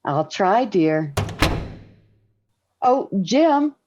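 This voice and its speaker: A woman's voice: medium pitch, very animated, drawn-out words, noisy background. Loyal sitcom wife, 40s radio, takes her time, very up n down, muffled old recording. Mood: fond, patient. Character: patient, affectionate middle-aged wife and mother, loyal when provoked. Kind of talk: 1940s radio sitcom acting.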